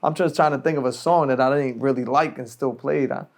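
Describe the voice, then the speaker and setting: A man's voice: mid-range voice, fairly even intonation, a little fast, creaky. A man who works as a DJ, chatting casually and in slang on a podcast. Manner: slangy, conversational.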